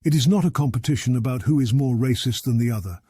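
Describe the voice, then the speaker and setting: A man from Africa narrating a scripted commentary video in a measured, formal, argumentative way. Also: mid-range voice, warm tone, breathy edge, no room sound, clean studio sound.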